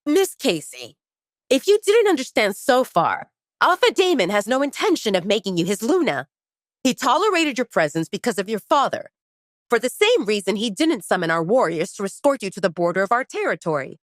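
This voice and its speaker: A woman's voice: fairly high pitch, very animated, fairly quick, dry recording, studio quality, pitched above the performer's own voice. A woman voicing a loyal male pack aide, blunt and lecturing, in an audio romance drama. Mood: blunt warning.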